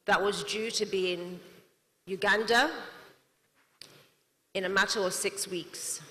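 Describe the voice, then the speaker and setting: Woman panelist, measured storyteller, mid-pitched voice, long hall reverb. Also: fairly bright tone. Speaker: panelist, manufacturer.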